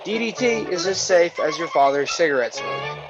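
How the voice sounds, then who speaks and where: Old-time radio announcer, played by a man, cheerily reassuring, muffled lo-fi radio sound over clear music. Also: a little high, expressive, normal speed. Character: reassuring, folksy mid-century public announcer promoting pesticide spray. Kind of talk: vintage radio announcement acting. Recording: dull lo-fi sound, music underneath.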